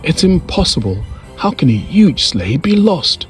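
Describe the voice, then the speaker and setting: A man's voice: on the high side, big pitch swings, unhurried, soft background music, voice pushed up. A man voicing a frazzled, panicking Santa Claus in a children's storybook, exclaiming dramatically. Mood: panicked.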